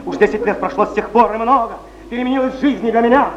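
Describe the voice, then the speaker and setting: An actor playing a weary, restless Russian poet on stage, emotional, exclamatory and brooding. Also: male voice, very high voice, fast, crowd noise. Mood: wistful. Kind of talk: theatrical stage declamation. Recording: noisy muffled 1970s theatre recording, echoey.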